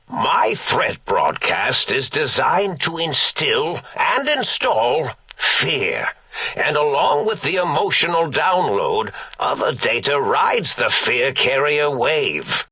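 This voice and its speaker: An actor voicing an eccentric, absent-minded old mad scientist in a video game, with a theatrical delivery. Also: male voice, on the high side, bright, forceful tone, husky, no echo, heavily acted. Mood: rambling explanation. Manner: rambling, stammering, theatrical self-corrections.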